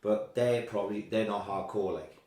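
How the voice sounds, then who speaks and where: A man's voice: lowish voice, steady tone, normal speed, a little mellow. A man, co-hosting a podcast and musing hesitantly, often playing devil's advocate. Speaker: podcast co-host. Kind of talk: casual chat. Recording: echoey room.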